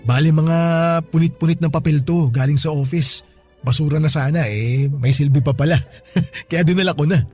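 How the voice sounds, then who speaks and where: A man's voice: fairly high pitch, normal speed, a little mellow, soft background music, lightly acted. An actor playing a friendly, good-humored male store boss with a Filipino accent, chatting easily in a radio drama. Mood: eager, cheerful. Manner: chatty, chuckling between remarks. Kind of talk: Tagalog radio drama acting, conversational.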